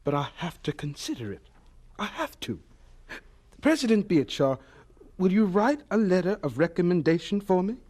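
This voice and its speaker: Earnest, humble male scientist for radio drama; higher voice, very animated, halting with emotion. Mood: earnest, resolute. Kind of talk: audio drama acting.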